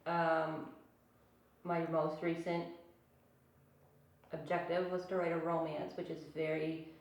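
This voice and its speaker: A woman with a lowish voice introduces herself casually as a writer. The sound is very echoey, and she is set back from the microphone. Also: little pitch movement, slow pace. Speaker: writer at a workshop. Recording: very echoey room, distant mic.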